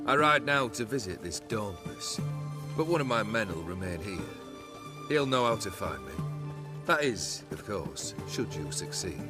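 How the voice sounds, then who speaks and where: A slow, brooding noble outlaw. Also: male voice, on the low side. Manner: haughty, deliberate, sardonic. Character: proud, brooding male noble outlaw leader. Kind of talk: video game acting. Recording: game audio under loud music.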